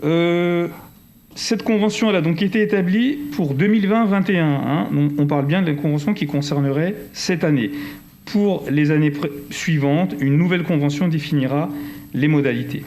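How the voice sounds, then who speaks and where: A man's voice: on the high side, moderate pace, a little mellow. Wordy Frenchman presenting to a council. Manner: digressive, wordy, self-correcting. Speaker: local councillor. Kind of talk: formal council presentation, partly improvised. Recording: faint background noise.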